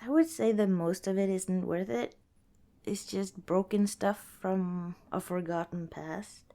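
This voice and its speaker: A woman playing a kind, cautious young scavenger in an ASMR roleplay, speaking softly and hesitantly, as if chatting to the listener. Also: mid-range voice, measured pace, natural voice. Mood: wry, musing. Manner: soft, hesitant, conversational, with sighs and small laughs.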